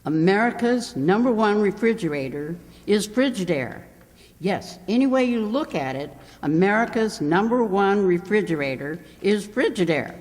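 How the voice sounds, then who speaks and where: A woman's voice: medium pitch, animated, normal speed, darkish tone, close to an everyday voice. A woman playing a proud, earnest radio announcer, reading promotional copy in a declamatory old-time style. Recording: reverberant hall stage performance.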